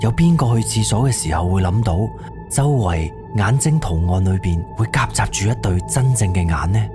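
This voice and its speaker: A fairly low male voice narrates a suspense story over clear background music. He speaks in a scripted radio-drama storytelling style. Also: expressive, moderate pace.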